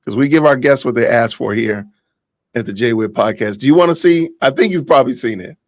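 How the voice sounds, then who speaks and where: A man hosts a casual livestream podcast with a medium-pitched voice. He talks straight to his viewers and reads out their comments. The sound is close and dry. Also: fairly quick, no room sound. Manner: thanks and addresses viewers directly. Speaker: podcast host.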